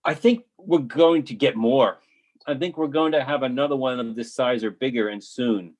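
A man's voice: mid-range voice, normal speed, darkish tone, natural voice. An actor playing an earnest male storm survivor in a readers theatre monologue, declaiming his lines.

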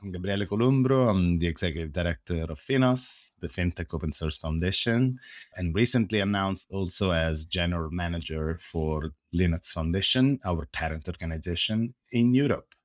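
A man's voice: deep voice, normal speed, dry recording, clean studio sound. A man with an Italian accent, a podcast guest explaining his work at length in an earnest way, with frequent hesitations and fillers. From Italy. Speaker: guest, open source foundation director. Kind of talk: podcast interview answers.

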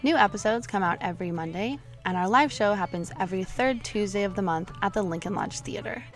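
A woman's voice: medium pitch, a little fast, faint music behind. Woman host reading a podcast outro.

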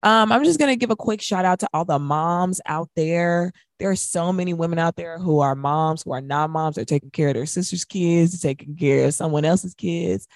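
An American woman, roughly in her thirties, co-hosting a radio show with casual talk about music. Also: on the low side, normal speed, hardly any pauses, dry recording, studio quality.

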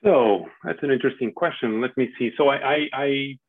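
A man's voice: mid-range voice, normal speed, husky. A man around forty from Portugal, a podcast guest speaking earnestly and reflectively with frequent restarts. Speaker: podcast guest, security specialist. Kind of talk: casual interview answers. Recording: tinny phone-like line, dry.

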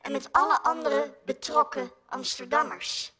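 Woman politician doing a formal speech, high voice, sharp bright sound. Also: measured pace.

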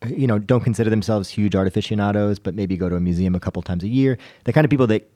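A man's voice: on the low side, racing, mellow, no room sound. A man hosting a casual panel discussion, talking in a conversational, explanatory way. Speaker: podcast host.

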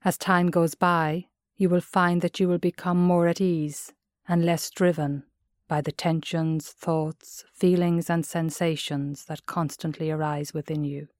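An Irish woman narrates a guided mindfulness meditation in a low-pitched voice. Her delivery is gentle and measured, like careful instruction. The sound is dry, with no room around it. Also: level tone, no room sound.

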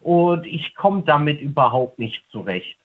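High-voiced young male caller on phone. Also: lively intonation, unhurried. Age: 20s. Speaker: radio show caller. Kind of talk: casual phone-in conversation. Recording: narrow, tinny phone line.

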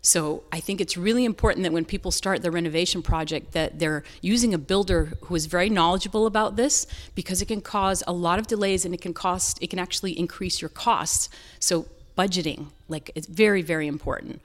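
A woman's voice: on the low side, a little fast, few pauses. A woman from North Carolina with an American accent, answering interview questions in an earnest, explanatory, conversational way. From North Carolina, southern United States. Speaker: interview guest, real estate and design business owner.

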